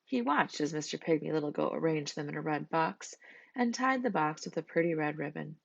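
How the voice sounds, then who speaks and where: Low-pitched woman reading a storybook. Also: lively intonation, fairly quick, vocal fry, no echo, dull audio. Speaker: storybook reader. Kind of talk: scripted children's story narration.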